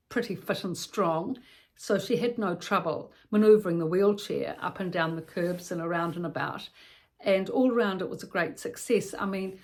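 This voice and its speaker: A woman with a New Zealand accent gives practical advice as informal storytelling, in a conversational, rambling way with repetitions and self-corrections. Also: lowish voice. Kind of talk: informal instructional storytelling addressed to the listener.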